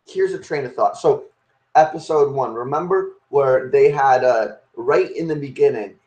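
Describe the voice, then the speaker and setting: A man's voice: medium pitch, moderate pace, a little mellow. A young man hosting a podcast, rambling and restarting as he argues a point in casual chat. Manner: rambling, full of fillers and restarts while arguing a point. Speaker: podcast host. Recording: roomy echo.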